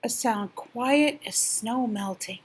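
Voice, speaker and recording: An older woman reading a children's picture book expressively, gentle and drawn-out, hushed in places. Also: a little high, husky. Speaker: storyteller.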